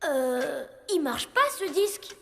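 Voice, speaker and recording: A cheeky young boy from an animated film. The voice is very high and takes its time, with brash, carefree confidence. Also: slow pace, creaky, a little hiss or hum, heavily acted. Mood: puzzled. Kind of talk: French animated film dub.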